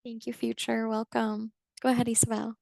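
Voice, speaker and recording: A woman hosts a webinar over a video call. Her somewhat high voice has a gravelly fry, and it sounds dry and close. She speaks gently, pausing often with "um.". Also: monotone, no echo. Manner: gentle, with frequent "um" pauses. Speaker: webinar moderator. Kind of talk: hosting a webinar.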